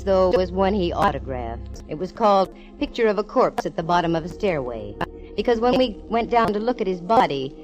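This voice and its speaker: A woman's voice: medium pitch, darkish tone, close to an everyday voice, rough recording. An American actress playing a wry, wisecracking, self-assured female private eye, narrating in 1940s radio detective style. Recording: old radio recording, faint organ music.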